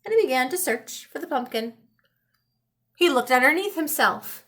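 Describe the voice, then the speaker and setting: A woman reading a children's story aloud in a dramatic, expressive style with deliberate pauses. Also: high voice. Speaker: storybook reader. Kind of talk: animated children's read-aloud.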